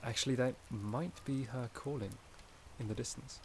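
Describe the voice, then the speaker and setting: A man playing a gentle, shy, caring forest companion in a soft-spoken ASMR roleplay, with a hesitant, slightly stammering delivery. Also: mid-range voice, normal speed, a little hiss or hum, lightly acted.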